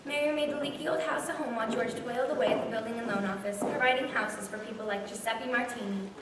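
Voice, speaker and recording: A woman's voice: a little high, steady tone, quick pace, heavy background noise, close to an everyday voice. A woman playing a folksy, fond storyteller who narrates a stage radio play. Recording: very echoey hall, distant, music behind.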